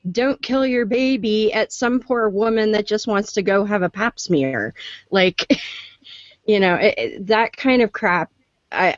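A woman's voice: medium pitch, no room sound, highs cut off. A woman co-hosting a podcast, speaking frankly and sarcastically in casual chat. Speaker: podcast co-host.

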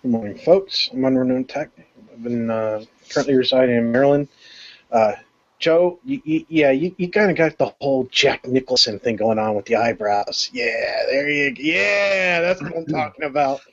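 A man's voice: mid-range voice, big pitch swings, normal speed, a bit bright. A man on an online panel, teasing the other guests playfully. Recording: muffled lo-fi call audio.

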